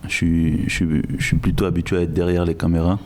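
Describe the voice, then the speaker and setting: A man who grew up in Guinea, speaking as a guest in a podcast interview in a measured, reflective way with frequent restarts. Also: low voice, flat delivery. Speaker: guest, logistics director.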